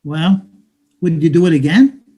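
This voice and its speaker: An actor in a stage play reading, playing a cynical, weary low-wage worker with an emphatic, slangy, preachy delivery. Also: male voice, animated, a little slow, warm tone, slightly rough. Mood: wry.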